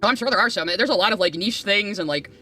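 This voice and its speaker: A woman's voice: medium pitch, fast, pushed and bright, faint music behind. A woman in casual chat, recorded with some noisy ambience behind her.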